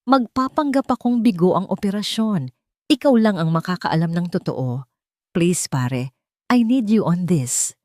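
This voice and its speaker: A woman narrator plays a determined Filipino businessman in an audio story. He speaks earnestly and insistently in a slightly low, dry-recorded voice. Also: lively intonation, unhurried, a little mellow, no room sound. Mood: determined, pleading. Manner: earnest, insistent, mixing English and Tagalog. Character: determined male tycoon plotting a secret deception.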